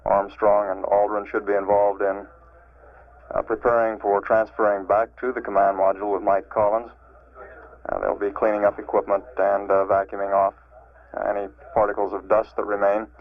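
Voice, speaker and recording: An American man giving measured official spaceflight status commentary as a mission control announcer, matter-of-fact and halting, over an archival radio transmission. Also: lowish voice, little pitch movement. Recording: narrow-band, phone-like archival radio transmission.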